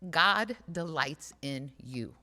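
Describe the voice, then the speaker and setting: A woman speaking earnestly and haltingly to a church congregation, in an informal, heartfelt reflection, repeating phrases for emphasis. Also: sits low, lively intonation, bright, forceful tone. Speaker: speaker at a church service, community outreach worker. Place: large church space during a livestreamed service. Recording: reverberant hall sound.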